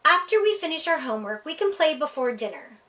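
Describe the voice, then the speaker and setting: A woman with a high voice reads a children's picture book aloud at storytime, her pitch swinging widely as she plays up the lines. The delivery is playful and expressive, and she sounds set back from the microphone. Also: a little fast. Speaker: library storytime reader. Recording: voice heard from a distance off the mic.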